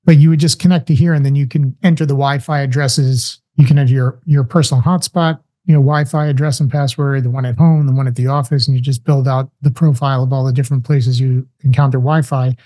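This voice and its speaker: A man in his forties explains his product as an interview guest, walking through each step in order. His voice is mellow and even, sitting in a middle pitch. He speaks close into a studio mic in a small room at home. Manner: brisk, explanatory, walks through steps. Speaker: guest, tech company founder. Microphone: large studio mic on arm with headphones, video call.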